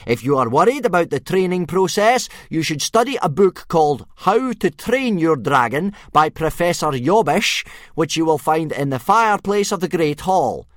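A man voicing a gruff, blustering male Viking drill instructor who bellows at his young recruits. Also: on the high side, sing-song, moderate pace, dry recording, studio quality, pitched above the performer's own voice. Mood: stern.

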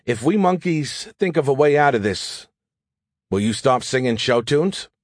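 A man's voice: medium pitch, lively intonation, unhurried, no room sound, studio quality. A man voicing a resolute, wry male starship commander in audiobook dialogue, plain-spoken. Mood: wry, teasing.

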